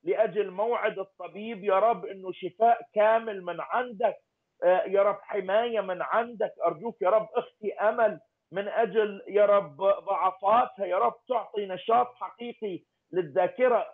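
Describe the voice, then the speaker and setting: A man's voice: high voice, a little fast. A man leading a fervent intercessory prayer, pleading and naming people one by one. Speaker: prayer leader. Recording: tinny phone-like line.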